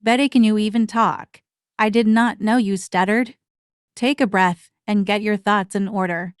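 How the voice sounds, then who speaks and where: A woman's voice: medium pitch, fairly even intonation, moderate pace, clear, resonant tone, no echo, clean studio sound. A woman hosting a reaction commentary channel, speaking bluntly and mockingly in a scripted way.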